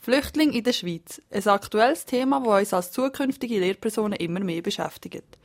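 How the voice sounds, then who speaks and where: A woman's voice: medium pitch, moderate pace. A young woman reading a scripted introduction to a report as presenter. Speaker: presenter, student teacher.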